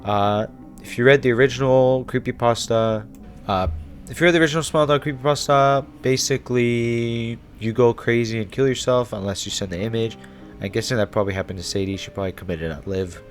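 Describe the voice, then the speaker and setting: A man's voice: medium pitch, fairly quick, soft background music. A man hosting a YouTube explainer in a casual, irreverent, conversational way. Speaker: YouTube host. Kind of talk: casual horror series explainer.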